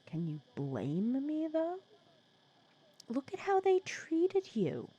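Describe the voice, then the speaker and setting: A woman playing a wry, protective supervillain nemesis who talks softly and teasingly in a comforting ASMR roleplay audio drama. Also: high voice, wildly swooping pitch, slow pace, dull audio, heavily acted. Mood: indignant, protective. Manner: soft-spoken, teasing, conversational with hesitations.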